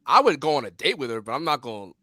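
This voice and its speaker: A man's voice: medium pitch, sing-song, very fast, pushed and bright, no echo, clean studio sound. A man of about twenty in casual group banter, speaking emphatically and repeating himself insistently. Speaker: podcast panelist.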